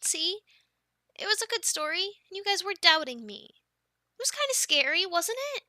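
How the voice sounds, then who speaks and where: A young woman with a high, very bright voice performs ASMR roleplay. She has a playful, girlish delivery, recorded close and dry. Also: very animated, normal speed, no echo. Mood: playful chat. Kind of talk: ASMR roleplay, whispered storytelling and casual chat.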